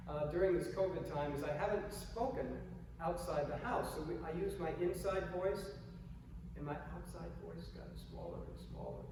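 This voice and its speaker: A gentle, soft-spoken male pastor with a slightly higher voice than most men, reading scripture and preaching in an unhurried way. He sounds far from the microphone, with a long reverb ringing through a big old church sanctuary. A clear hiss of noise sits under his words. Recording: echoey church hall from far off, noisy.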